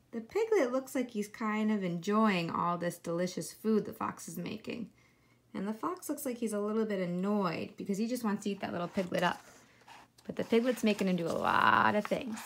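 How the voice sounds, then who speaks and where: A woman's voice: mid-range voice, lively intonation, moderate pace, a little hiss or hum. A woman reading a children's storybook aloud as a teacher, adding simple explanations of the pictures for young listeners.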